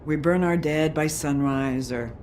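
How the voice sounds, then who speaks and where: Slow-talking, bitter troll barkeeper. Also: female voice, sits low, darkish tone, a little hiss or hum. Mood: shaken. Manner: blunt, bitter, guarded, swearing. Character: grieving, foul-mouthed troll woman who runs a bar. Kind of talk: dramatic video game acting.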